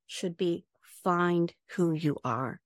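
A middle-aged woman, an HR professional and advisor, talking in an interview and acting out quotes with lively jumps in pitch. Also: slow pace, no room sound, studio quality. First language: English. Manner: animated storyteller, acts out quotes with big pitch jumps. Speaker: guest, HR professional and certified advisor. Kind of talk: conversational interview.

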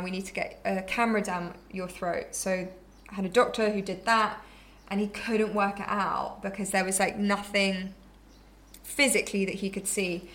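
A woman's voice: medium pitch, fairly bright tone. A woman in her thirties, a yoga teacher, telling her own story candidly and reflectively, with frequent ums and restarts. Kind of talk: personal storytelling monologue.

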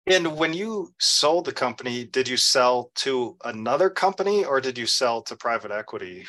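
Bright-voiced male podcast host. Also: mid-range voice, lively intonation, normal speed. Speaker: podcast host interviewing a guest. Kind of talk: conversational interview.

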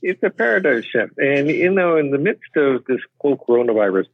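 A Canadian guest explaining immigration in an interview, speaking in a measured, hesitant way with many uh's. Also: male voice, medium pitch, sing-song, no room sound. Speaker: podcast guest, immigration expert. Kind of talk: interview answers. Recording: tinny phone line.

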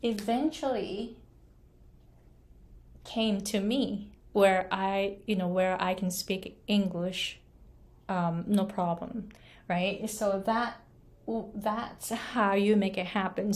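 Japanese-accented woman, unhurried coaching vlog, earnest and chatty with "right?" tags. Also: mid-range voice, a little mellow. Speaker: English pronunciation coach. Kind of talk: casual motivational talk to camera.

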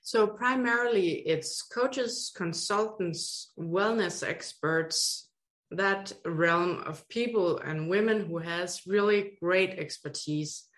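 A woman's voice: fairly low pitch, slow, a bit bright. A middle-aged woman from Denmark with a Danish accent, explaining her work earnestly as a podcast guest. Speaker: guest, education consultant. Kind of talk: podcast interview.

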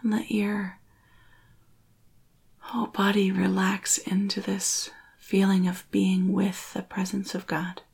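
Hushed, husky woman guiding meditation. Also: medium pitch, monotone. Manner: hushed, breathy, near-whispered delivery; slow, soothing, with long pauses. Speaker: meditation guide. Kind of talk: guided meditation, spiritual.

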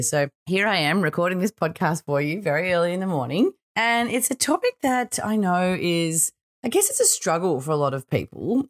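A middle-aged Australian woman with a slightly croaky voice, hosting a solo podcast in an informal, chatty and reflective way. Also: low-pitched. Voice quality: slightly croaky early-morning voice. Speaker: podcast host.

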